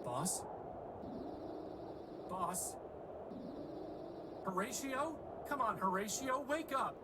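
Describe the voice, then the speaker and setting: A man's voice: sits high, lively intonation, extremely slow, recorded from a distance, very noisy, exaggerated voice. A man voicing a small, chatty robot sidekick in a video game, flippant and sarcastic in his delivery. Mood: worried, urgent.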